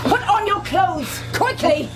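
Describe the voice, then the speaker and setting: A woman's voice: very high-pitched, expressive, slow pace, loud music behind the voice, heavily acted. An actress playing a protective, urgent Roman mother in a radio drama, commanding and alarmed.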